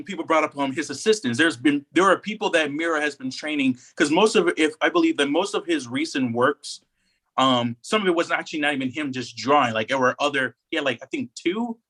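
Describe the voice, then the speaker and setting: A man co-hosting a casual podcast discussion, telling stories in an animated, slangy way and acting out quotes. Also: fairly high pitch. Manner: animated storytelling, acts out quotes in slangy speech. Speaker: podcast co-host.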